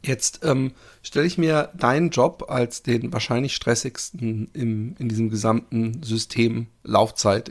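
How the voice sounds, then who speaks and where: A man hosting a podcast, asking long, winding questions with many restarts and fillers. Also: medium pitch. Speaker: podcast host. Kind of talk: casual podcast interview.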